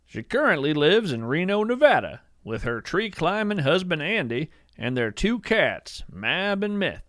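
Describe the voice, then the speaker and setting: A man playing an earnest, awestruck naturalist, narrating in a poetic, measured storytelling style. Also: a little high, big pitch swings, a bit bright, no echo, clean studio sound. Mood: earnest, admiring. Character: awestruck bearded male naturalist who studies strange creatures. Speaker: host and narrator of a fiction podcast. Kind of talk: scripted first-person narration, storytelling.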